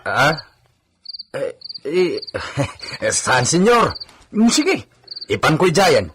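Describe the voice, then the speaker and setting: A man's voice: fairly high pitch, sing-song, extremely slow, exaggerated voice. An actor with an Ilocano Filipino accent playing a deferential, hesitant henchman in a comedy radio drama. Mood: nervous. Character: servile, nervous henchman of a rich don. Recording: noisy track with clicks, insect chirps.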